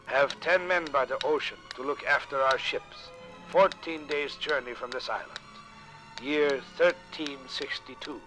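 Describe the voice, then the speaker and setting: An actor playing a solemn medieval Norse explorer, declaiming a grim account in 1950s radio drama style. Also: male voice, medium pitch, fairly even intonation, a little slow, sharp tone, soft background music, poor audio. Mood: solemn, grave.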